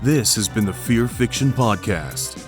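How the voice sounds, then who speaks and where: A man reading the podcast's credits as a scripted, measured voice-over. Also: lowish voice, lively intonation, over music. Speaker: show announcer.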